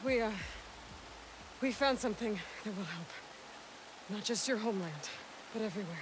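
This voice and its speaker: A woman's voice: medium pitch, normal speed, background noise, lightly acted. An actress playing a determined, urgent warrior woman in a video game, speaking bluntly. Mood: concerned. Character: determined, urgent adult female warrior on the run. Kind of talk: video game dialogue acting.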